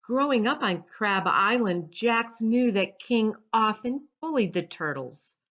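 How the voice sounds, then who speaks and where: A woman reading a children's storybook aloud as its author, in an expressive storytelling style. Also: on the high side, takes it slowly. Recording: dull lo-fi sound.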